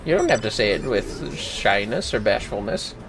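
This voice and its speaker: A man's voice: fairly low pitch, very animated, moderate pace, noisy background. A man streaming a game, chatting casually. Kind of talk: casual livestream chat while gaming.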